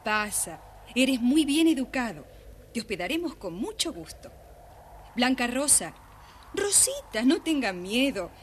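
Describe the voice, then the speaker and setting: An actress playing a kind, hospitable mother in a children's story record, with a gently commanding manner. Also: fairly high pitch, big pitch swings, unhurried. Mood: hospitable, reassuring. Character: kind, hospitable widowed mother of two girls. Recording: faint noise, old children's story record.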